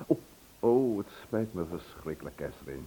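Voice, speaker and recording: A man's voice: sits low, animated, normal speed, mellow, husky, heavily acted. An actor playing a genial, teasing man with a hearty, chatty manner in a Dutch radio play. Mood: apologetic. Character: genial, teasing man bringing news to friends. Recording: dull old radio play recording.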